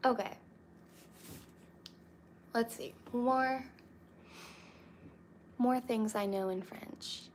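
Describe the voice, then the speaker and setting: A young woman chatting casually with her viewers, relaxed and reading comments aloud. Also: a little high, extremely slow, long pauses, a little hiss or hum. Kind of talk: casual chat with live chat.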